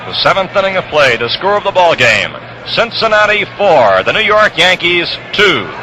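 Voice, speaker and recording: Animated 1960s baseball radio announcer, male. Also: high-pitched, normal speed. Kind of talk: 1960s radio sports commentary. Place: outdoor ballpark broadcast position. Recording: noisy vintage radio broadcast, ballpark outdoors.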